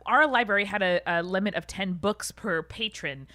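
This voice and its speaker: Female podcast co-host, playful and chatty, average pitch, very dry close recording. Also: moderate pace, pushed and bright, dry recording. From Long Island, New York, USA. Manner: playful, bantering. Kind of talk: casual playful podcast chat.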